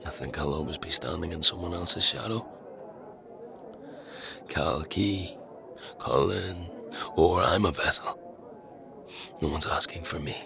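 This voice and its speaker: A man playing a troubled, self-doubting young man in a dark fantasy audio drama, with a gravelly voice and hesitant, brooding delivery. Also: deep voice, a little slow, long pauses, exaggerated voice. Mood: downcast.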